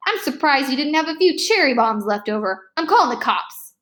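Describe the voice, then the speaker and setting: A woman's voice: sits high, very animated, a little fast, fairly bright tone. A woman voicing an exasperated, accusing male car wash manager in a storybook read-aloud. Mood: angry, accusing. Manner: indignant, incredulous.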